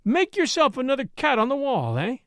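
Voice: high voice, wildly swooping pitch, a little fast, dry recording, studio quality, pitched above the performer's own voice, full character voice. A narrator voicing a pert, childlike young Mexican woman in a storybook, speaking broken English with a Spanish accent and a teasing lilt. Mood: saucy, reproachful. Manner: saucy, lilting, childlike.

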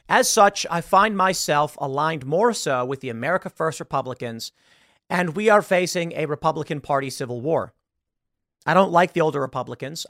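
A man's voice: on the high side, fairly bright tone, no echo, clean studio sound. A man in his thirties hosting live political commentary, impassioned and sarcastic, mocking the people he quotes. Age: 30s.

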